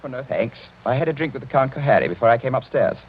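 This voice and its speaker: An actor playing a brave but edgy young lord in a radio mystery, speaking in a clipped, defiant way. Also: male voice, mid-range voice, expressive, fast, natural voice. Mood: dry politeness. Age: young adult. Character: brave, brusque young English lord on edge. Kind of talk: 1940s radio mystery acting. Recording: muffled, noisy 1940s radio recording.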